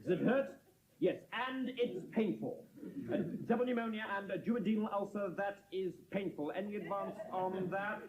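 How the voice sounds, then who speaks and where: A man playing a brisk, impatient, officious auctioneer, cajoling with repetitive patter in sketch comedy. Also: sits high, lightly acted. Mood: cajoling, urgent. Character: brisk, impatient male auctioneer of hospital beds. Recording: dull, very noisy live recording, loud audience.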